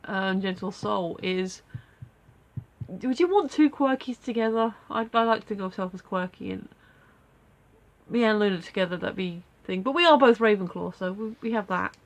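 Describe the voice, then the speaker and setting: A woman's voice: mid-range voice, normal speed. Snuffly woman with a head cold. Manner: rambling, self-deprecating, many fillers. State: stuffy nose from a cold. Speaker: vlogger. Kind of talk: casual daily video diary.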